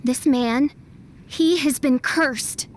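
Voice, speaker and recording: A high, slow and deliberate young princess voice, grandiose and melodramatic, in a fantasy game. She speaks in florid, archaic phrases over the music. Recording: game soundtrack music behind.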